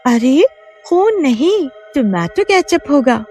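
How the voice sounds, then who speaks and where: A woman voicing a calm young married woman in a cartoon who brushes off worries in a soothing way. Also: high-pitched, takes it slowly, faint music behind, pitched above the performer's own voice, exaggerated voice. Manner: soothing, dismissive.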